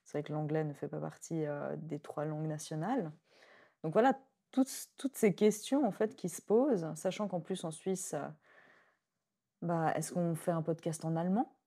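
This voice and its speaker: A woman, hosting a podcast in relaxed conversation. She talks reflectively and laughs easily. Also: sits low, moderate pace. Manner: chatty, reflective, laughs easily, leans on "en fait". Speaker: podcast host.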